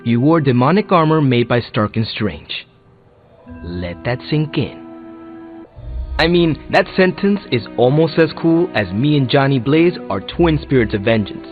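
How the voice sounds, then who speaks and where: A male actor plays a gushing young superhero from a video game. He is animated and self-conscious, tripping over his words. The recording is narrow-band with faint music underneath. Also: mid-range voice, measured pace, lightly acted. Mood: awkwardly excited. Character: excitable, awkward young male superhero fanboy.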